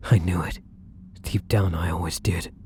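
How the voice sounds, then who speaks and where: A man playing a defiant, protective vampire in an ASMR roleplay, with husky, breathy delivery and theatrical, formal phrasing. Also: low-pitched, steady tone, normal speed, warm tone, a little hiss or hum. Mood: bitter accusation. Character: defiant, protective young male vampire, bitter and menacing. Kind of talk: ASMR audio roleplay acting.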